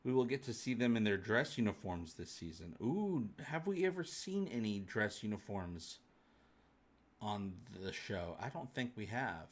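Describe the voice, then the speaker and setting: A man's voice: fairly low pitch, big pitch swings, unhurried. A man hosting a fan livestream, chatting casually and answering viewers in a rambling, jokey, colloquial way. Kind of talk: casual chat, answering live viewer comments.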